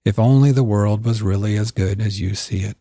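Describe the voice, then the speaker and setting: A man's voice: fairly low pitch, brisk, mellow, no echo. A man voicing an affectionate, teasing wife in an audiobook. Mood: fond teasing.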